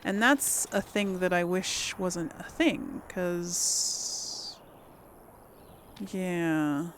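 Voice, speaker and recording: A woman's voice: fairly low pitch, very slow, slight background noise. A woman giving casual game commentary, thinking aloud and chatting to her viewers. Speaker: gamer hosting a let's play. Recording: game music behind the voice. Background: video game music.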